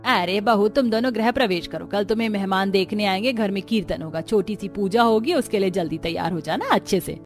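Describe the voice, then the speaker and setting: A woman's voice: medium pitch, brisk, hardly any pauses, soft background music, close to an everyday voice. A woman playing a patient, middle-aged village mother-in-law in a cartoon, coaxing and instructing.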